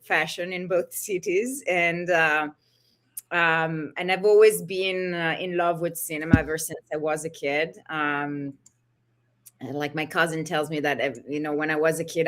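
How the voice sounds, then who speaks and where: A middle-aged woman talks in a low, forceful, bright voice. She chats loosely, leaning on "you know" and "like" as she thinks aloud. She sounds like she's on a video call. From Italy. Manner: chatty, many "you know" and "like" fillers. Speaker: costume designer guest. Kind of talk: casual interview chat.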